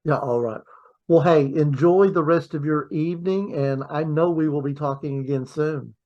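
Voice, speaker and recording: A man hosting a podcast, chatting in a friendly, halting way and often repeating words. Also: fairly high pitch, darkish tone, highs cut off. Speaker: podcast host. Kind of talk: casual interview chat.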